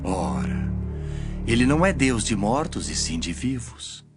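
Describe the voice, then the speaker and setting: Authoritative male teacher of scripture, played by a man, solemn delivery with wide, lively inflection, soft music beneath. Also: mid-range voice, measured pace. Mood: stern teaching. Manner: solemn, emphatic, declamatory. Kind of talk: dramatized scripture audio reading.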